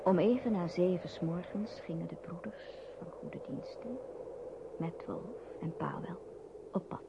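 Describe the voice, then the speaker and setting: A woman's voice: fairly low pitch, unhurried, vocal fry. A woman reading scripted narration for a radio play. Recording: muffled noisy old radio recording.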